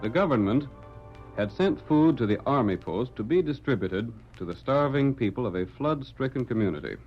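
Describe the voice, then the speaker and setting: A man's voice: medium pitch, lively intonation, normal speed, faint music behind. A man announcing and narrating a radio western in formal, dramatic 1940s style. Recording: muffled vintage radio recording.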